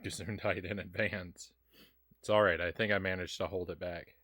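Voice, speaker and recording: A man's voice: deep voice, steady tone, normal speed, a bit bright, no echo. A man from Kentucky streaming a retro game, reading chat aloud and chatting casually with plenty of ums and uhs. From Kentucky, USA.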